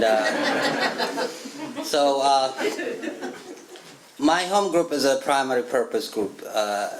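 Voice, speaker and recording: A man in his thirties from Ethiopia with an Ethiopian accent, telling his recovery story as a guest speaker at a meeting, joking and laughing often with halting restarts. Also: mid-range voice, a little hiss or hum. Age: 30s. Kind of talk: personal recovery story, casual chat. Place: church meeting room.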